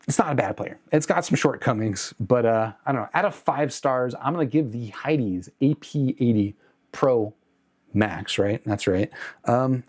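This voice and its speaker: A man with a medium-pitched voice hosts an unscripted product review. He talks in a conversational way, qualifying his points and correcting himself along the way. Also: a little mellow. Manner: conversational, hedging, self-correcting. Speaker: host of an audio gear review channel.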